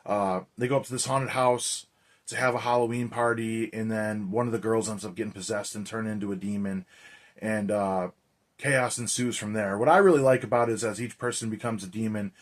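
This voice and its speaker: A man with a hoarse, raspy voice chatting energetically as a horror movie vlogger, loose and full of fillers. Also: fairly low pitch, fairly even intonation, fairly quick. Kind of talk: casual vlog chat.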